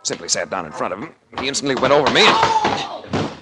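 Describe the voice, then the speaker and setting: An actor playing a cool, wry tough-guy investigator in a 1940s radio drama, with a gravelly voice and a faintly noisy vintage recording. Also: mid-range voice, huge pitch swings, fairly quick. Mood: urgent. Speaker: lead actor and narrator.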